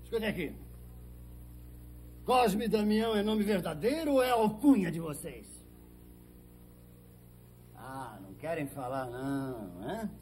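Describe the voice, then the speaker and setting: A man's voice: fairly high pitch, animated, a little slow, long pauses, a little mellow, faint music behind. A man acting a blustering, pompous middle-aged small-town police chief in a Brazilian telenovela, theatrical and emphatic. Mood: pompous, threatening. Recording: old TV soundtrack with faint hum.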